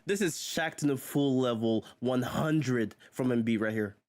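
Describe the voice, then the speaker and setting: A man's voice: medium pitch, unhurried, heavy background noise. A man hosting a casual sports commentary video, chatty and exclaiming, often chuckling. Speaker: video host.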